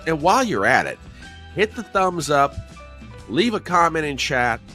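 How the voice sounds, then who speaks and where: A middle-aged man hosting a casual livestream, chatty and jokey, a little hoarse and nasal from a cold. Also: a little high, big pitch swings, unhurried, bright, forceful tone, soft background music. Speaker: host.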